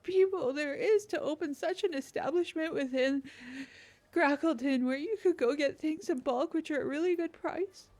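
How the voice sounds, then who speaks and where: A woman's voice: sits high, normal speed, a little mellow, close to an everyday voice. A woman playing a guileless, oversharing small-town radio host in an improvised comedy broadcast, earnest, repetitive and easily wounded. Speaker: podcast co-host.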